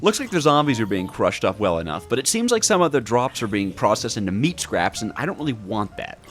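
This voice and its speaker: A man hosting and narrating a scripted gaming video in an excitable, playful, emphatic manner. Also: lowish voice, a little fast. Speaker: host, gaming video creator.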